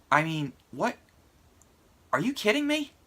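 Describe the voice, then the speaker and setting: A man's voice: sits high, sing-song, slow pace, background noise. A man giving a casual, opinionated movie review in an emphatic conversational way, sounding a little congested with a sore throat. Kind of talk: casual video review commentary.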